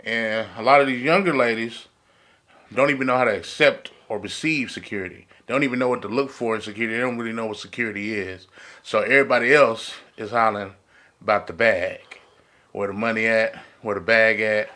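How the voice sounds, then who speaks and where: A man's voice: fairly low pitch, fairly quick, pushed and bright. An American man talking as a panelist in a casual podcast discussion, informal and slangy, often repeating his phrases.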